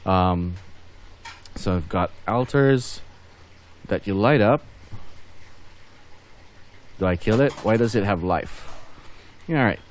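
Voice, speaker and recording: A man's voice: fairly low pitch, lively intonation, slow pace, pauses often, a little hiss or hum. A man doing casual gameplay commentary as host of a gaming video, rambling and thinking aloud as he goes.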